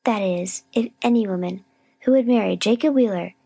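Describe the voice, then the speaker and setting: Woman voicing a husky, indignant scolding spinster. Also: mid-range voice, normal speed, warm tone, no echo, voice pushed up. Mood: reproachful. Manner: grim, firm, scolding, sniffy. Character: stern, indignant, sharp-tongued proud spinster.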